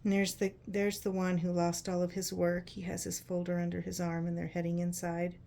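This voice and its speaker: Woman, children's story narrator, lowish pitch, gravelly fry, conversational, expressive, questioning asides. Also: a little fast. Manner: conversational, checks in with listeners through questions. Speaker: children's read-aloud host. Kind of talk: narrating a picture book to children.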